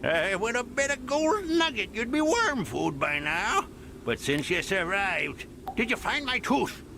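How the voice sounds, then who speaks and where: Crotchety old cartoon miner, creaky high voice, music behind. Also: male voice, sing-song, heavily acted. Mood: gruff, grudging. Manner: folksy, crotchety, drawn-out. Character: grumpy, gold-obsessed old male cartoon prospector miner. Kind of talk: animated game cutscene acting.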